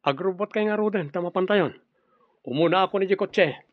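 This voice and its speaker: Man as young suitor, assertive, fairly high voice, radio drama, dry muffled sound. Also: brisk, no room sound, lightly acted. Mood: insistent. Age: young adult. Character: confident, insistent young man, devoted suitor.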